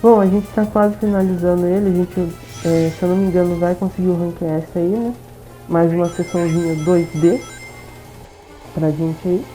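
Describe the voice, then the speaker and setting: A woman's voice: on the low side, fairly even intonation, moderate pace, dark tone, faint music behind, low-quality recording. A Brazilian woman giving casual let's play commentary on a video game. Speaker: gameplay commentator.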